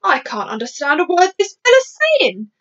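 A woman's voice: high-pitched, big pitch swings, moderate pace, a bit bright, muffled recording, faint music behind, voice pushed up. A woman voicing an indignant, blustering male head of the army in a storybook, speaking emphatically. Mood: exasperated. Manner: emphatic, exasperated military bluster.

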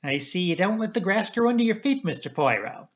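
A male narrator acts out a cocksure English police inspector in a detective audiobook. He is curt and brusque, with little patience for amateurs, in a slightly raised pitch. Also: very animated, brisk. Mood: softened respect. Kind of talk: audiobook character dialogue.

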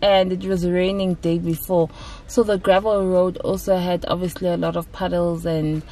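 A woman's voice: on the low side, level tone, moderate pace, mellow, poor audio. A woman in her thirties with a South African accent, chatting casually and emphatically to camera in a car as a vlog host. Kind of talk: casual chat to camera with code-switching. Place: inside a car. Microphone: camera at dashboard distance.

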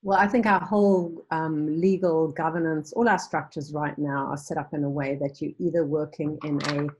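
A woman's voice: low voice, steady tone, moderate pace, darkish tone, breathy edge, rough recording. A middle-aged woman from Africa, a guest on a video call interview, talking thoughtfully with frequent ums and restarts. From South Africa. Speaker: guest, nonprofit founder. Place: home room with bookshelves.

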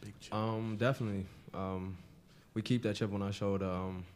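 A young male college basketball player answering postgame questions at a table mic in a press room, soft-spoken with many fillers. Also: fairly low pitch, level tone, moderate pace, a little hiss or hum. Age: young adult.

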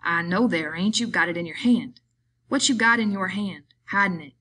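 Woman acting a small weary workingman, irritable, sharp, scolding, rough rustic speech, audiobook character. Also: medium pitch, normal speed. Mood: stern, suspicious.

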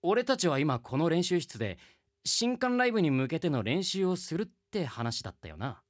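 A man's voice: on the high side, sing-song, normal speed. A man playing an earnest, exasperated teenage music student in a radio comedy drama, narrating and making emphatic retorts.

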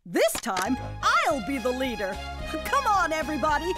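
High, sing-song jolly cartoon granny. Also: female voice, huge pitch swings, normal speed, loud music behind the voice. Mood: warm, excited. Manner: sing-song, gushing, doting. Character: jolly, plump, grandmotherly woman hosting a children's game. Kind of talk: animated children's game hosting.

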